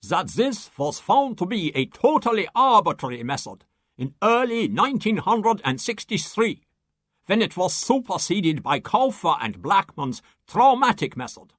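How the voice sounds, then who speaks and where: Casting: pedantic, huffy male scientist, comic audiobook satire, animated delivery, dry studio sound. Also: high-pitched, a little slow, no echo, heavily acted. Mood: indignant. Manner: pedantic, emphatic. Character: indignant, pedantic male German scientist, fussy and objecting.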